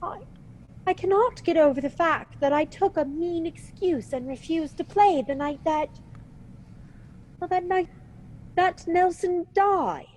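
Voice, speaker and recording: A woman's voice: extremely high pitch, unhurried, long pauses, darkish tone, a little hiss or hum, poor audio. A woman playing a genteel, coyly pleading widow in an old-time style radio mystery, hesitant and theatrical. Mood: wistful, faltering. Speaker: actor in audio drama.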